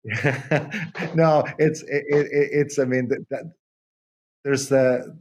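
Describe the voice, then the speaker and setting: An older man, a theatre company co-founder, talking in an interview in a halting way with many repeated restarts. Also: medium pitch, fairly even intonation. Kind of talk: conversational interview.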